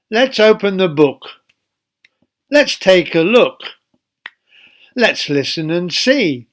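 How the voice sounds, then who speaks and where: Slow-speaking man reading a children's story. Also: on the high side. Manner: deliberate, addresses young listeners with questions. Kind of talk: read-aloud children's Bible story.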